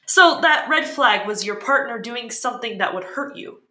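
A woman's voice: a little high, expressive, moderate pace, pushed and bright. An actress playing an eager, curious, sympathetic woman who asks questions and reacts in a scripted fiction podcast. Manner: inquisitive, reactive. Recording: roomy echo.

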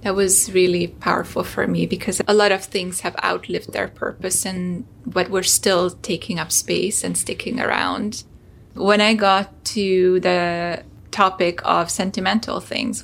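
A woman's voice: lowish voice, gravelly, fairly bright tone, slight background noise. A woman from Hungary, a startup founder, telling an earnest personal story as a podcast guest.

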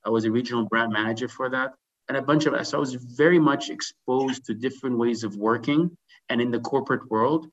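A man's voice: medium pitch, fairly quick, fairly bright tone. Middle-aged man, slightly dull audio. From grew up in Dubai and Canada. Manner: conversational, often tags phrases with "right?". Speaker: podcast guest, insurance business executive. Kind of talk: casual interview storytelling.

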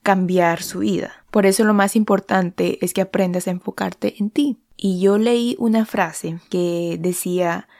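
A young woman speaks straight to her viewers in a calm, reflective vlog. Her pitch sits in the middle, and her delivery is earnest and thoughtful, easing off to linger on the ideas that matter to her. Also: vocal fry. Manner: calm, earnest and thoughtful, slowing down on key points. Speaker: wellness vlogger. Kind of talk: casual reflective monologue.

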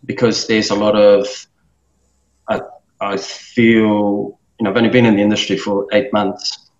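Low-ish guy in his 30s from nz, guest on a podcast, lots of uh and restarts, echoey dull room. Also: flat delivery, measured pace. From New Zealand. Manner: thoughtful, with many fillers and restarts. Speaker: guest, business owner. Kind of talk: casual interview talk. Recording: roomy, dull-sounding room mic.

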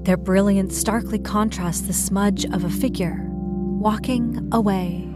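Woman acting solemn museum guide lady, formal and measured, music clearly behind her. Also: mid-range voice, fairly even intonation, close to an everyday voice. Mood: solemn, composed. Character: composed, solemn female museum exhibit narrator. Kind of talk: scripted theatrical narration.

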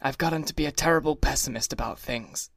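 A man voicing a wealthy young husband, cross and brutish, with a gruff, insistent, pompous manner. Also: mid-range voice, fast, a bit bright, no room sound, studio quality. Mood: blustering.

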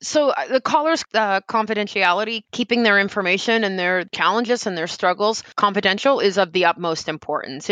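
A woman's voice: mid-range voice, a bit bright, no room sound. A woman representing a helpline, answering interview questions in an earnest, explanatory way with frequent "you know" fillers.

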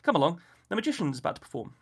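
A man voicing a genial adult father with a brisk, friendly way of talking. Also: a little high, sing-song, vocal fry, husky, pitched above the performer's own voice, heavily acted. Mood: teasing.

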